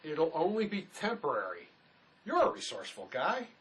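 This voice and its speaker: A man's voice: a little high, huge pitch swings, normal speed, fairly bright tone. A man acting as a laid-back, resourceful escaped convict in a drama, speaking in a dry, folksy, matter-of-fact way. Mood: dry, reassuring. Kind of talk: dramatic script performance.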